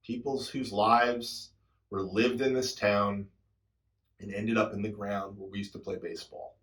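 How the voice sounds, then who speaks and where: A man in his sixties, a drummer hosting his channel, telling a personal story in a reflective, introspective way, his sentences often trailing off softly. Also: fairly low pitch, flat delivery, unhurried, poor audio. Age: 60s. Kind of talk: reflective personal talk to camera.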